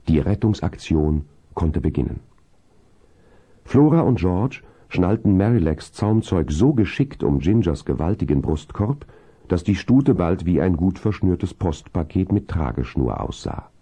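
A man narrating a 1970s children's audio drama in a measured, descriptive storytelling manner. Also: low-pitched, warm tone, breathy edge, exaggerated voice.

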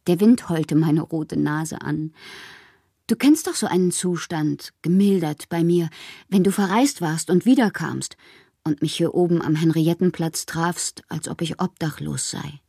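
A woman's voice: low voice, unhurried, dark tone, no room sound, studio quality, heavily acted. An actress playing a whimsical, lovelorn, melancholy woman poet performing her letters in a radio drama, theatrical and given to whispering. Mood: wistful, dreamy. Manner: theatrical, swinging between breathy whispers and exclamations.

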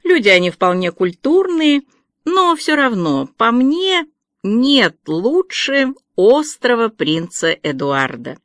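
Narrator doing a chatty, anxious teenage girl, raised pitch, eager storybook chatter. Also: big pitch swings, slow, a bit bright, no echo, clean studio sound, exaggerated voice.

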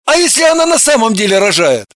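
A man playing an easygoing, teasing, sometimes puzzled man in an audiobook, with an excitable manner. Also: very high voice, fast talker, dry recording, full character voice. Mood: insistent. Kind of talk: audiobook character acting.

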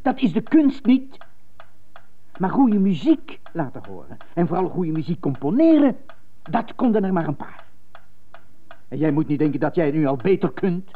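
An actress in a radio drama playing a strict, condescending piano teacher who lectures emphatically. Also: female voice, lowish voice, very animated, normal speed, long pauses. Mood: indignant. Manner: emphatic, lecturing, repeats for effect. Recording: thin phone-like radio tape.

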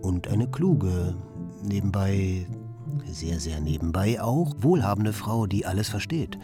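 A man performing a reflective, wry commentator in a literary radio play, with measured, pointed phrasing. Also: deep voice, expressive, music in the background. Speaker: second narrator.

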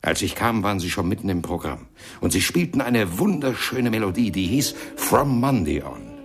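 A man acting in a German radio play as a hesitant fellow trying out a jazzman's reminiscences, with a gravelly, husky voice. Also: lowish voice, animated. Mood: nostalgic. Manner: halting, unsure, breaking off to ask for approval.

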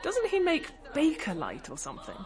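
A woman playing a rebellious, sharp-tongued young suffragette in a radio drama, mocking and challenging. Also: high voice, huge pitch swings, normal speed, heavily acted. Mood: scornful. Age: young adult.

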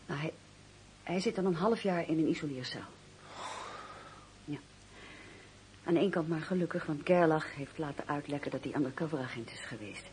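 An actress playing a loyal, indignant young fiancée in a radio drama, speaking earnestly and with emphasis. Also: female voice, low-pitched, normal speed, long pauses, a little mellow, lightly acted. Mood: worried. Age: young adult. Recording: noisy radio play recording.

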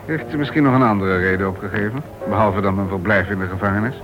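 An actor playing a smooth, guarded ex-convict in a Dutch radio play, polite but probing and curt. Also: male voice, lowish voice, fast, lightly acted. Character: smooth, guarded male ex-convict, new club member. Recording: dull old radio recording, music behind.

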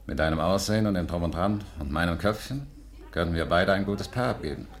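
An actor playing a boastful, pushy small-time crook in a 1950s German radio play, speaking with cocky insistence. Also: male voice, low voice, quick pace, a faint crowd. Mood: smooth, flattering.

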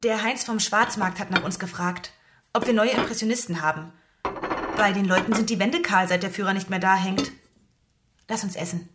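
An actress playing a volatile, mocking young wife in a radio play. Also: female voice, mid-range voice, moderate pace, noisy background, natural voice. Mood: matter-of-fact. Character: volatile, hard-drinking young wife, mocking and sharp-tongued.

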